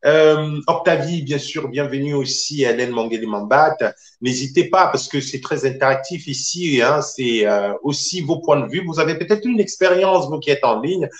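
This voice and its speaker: A man's voice: fairly high pitch, normal speed. A man hosting a live online interview, addressing his guest and the viewers in an interactive way. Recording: roomy, echoing sound.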